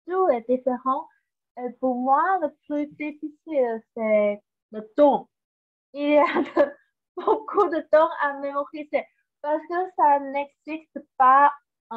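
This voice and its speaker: A Taiwanese woman whose first language is Mandarin, speaking French slowly and hesitantly on a video call. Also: on the high side. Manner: slow, hesitant French learner with many pauses. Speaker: interview guest. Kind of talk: casual interview chat. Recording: tinny phone-like line.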